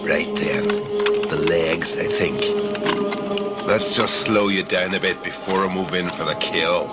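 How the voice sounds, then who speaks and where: Man playing deep, menacing hunter villain. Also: fairly even intonation. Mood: gloating. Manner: sinister, taunting, deliberate. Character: menacing, gloating hunter villain stalking his prey. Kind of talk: sci-fi audio drama villain monologue. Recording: loud music over the voice.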